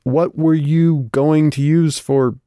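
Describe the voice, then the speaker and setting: A man's voice: medium pitch, animated, moderate pace, a little mellow, no room sound, close to an everyday voice. A feisty young heroine from a fantasy story, read by a man. She talks in a blunt, casual, sarcastic way, and the sound is dry and close. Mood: incredulous. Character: feisty, sarcastic young woman new to magic.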